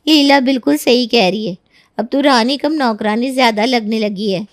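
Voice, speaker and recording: A woman's voice: on the high side, moderate pace, no room sound, clean studio sound, lightly acted. A woman voicing a scheming, meddling young female friend in a moral story, sly and goading.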